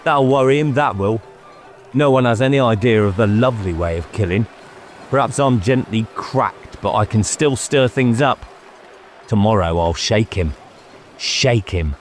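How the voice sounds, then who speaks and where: A man's voice: lowish voice, measured pace, slight background noise, pitched above the performer's own voice, close to an everyday voice. Man voicing a muttering, unhinged young schemer. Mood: gloating. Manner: muttering, boastful, repetitive.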